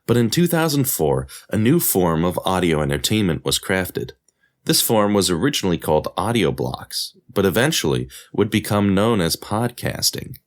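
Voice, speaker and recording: A man's voice: deep voice, very animated, normal speed. A man narrating a scripted documentary podcast as its host.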